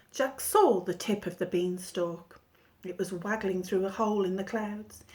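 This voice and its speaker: A woman teacher reading a children's storybook aloud in an expressive storytelling manner. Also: mid-range voice.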